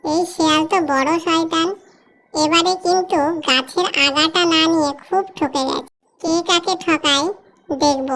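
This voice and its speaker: A big, gullible crocodile from a children's cartoon folktale. The voice is very high, with a bright, sharp edge. It chatters and grumbles like a schemer who keeps getting outwitted. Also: unhurried, slight background noise, full character voice. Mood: aggrieved, grumbling.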